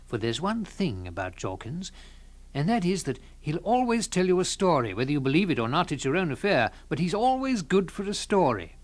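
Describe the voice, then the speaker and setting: A man playing a sociable, curious gentleman who narrates a literary tale and speaks in its dialogue, genial and expressive. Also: a little high, brisk.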